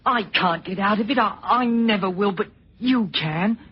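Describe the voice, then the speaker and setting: A man's voice: high voice, normal speed, slightly rough. An actor playing a nervous, guilt-ridden young British man in an old radio drama, stammering and hesitating as he speaks. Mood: agitated pleading. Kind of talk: 1940s radio drama acting. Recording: muffled old radio recording.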